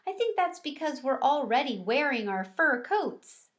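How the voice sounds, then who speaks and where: A woman reads as a small boy hamster from a storybook, eager and kindly. The voice is lifted and excitable, lightly squeaky. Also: unhurried. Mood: friendly.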